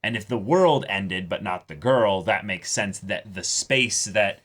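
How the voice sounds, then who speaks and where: A man co-hosting a reaction show, casually analysing a story in an explanatory way, often halting to search for words. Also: lowish voice, expressive, fairly bright tone. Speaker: co-host of an anime reaction show.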